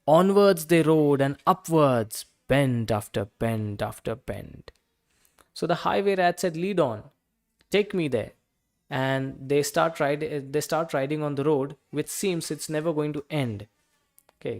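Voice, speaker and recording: A man's voice: medium pitch, a little mellow. A man with an Indian accent reading a bedtime story to children and explaining it simply as he goes.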